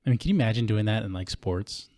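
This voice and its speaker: A man with a fairly low voice hosts a podcast from Hawaii, chatty and informal with plenty of relaxed fillers. His voice sounds close and dry in the studio, with no room echo. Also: fast talker. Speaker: podcast host. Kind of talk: casual interview conversation. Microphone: studio microphone.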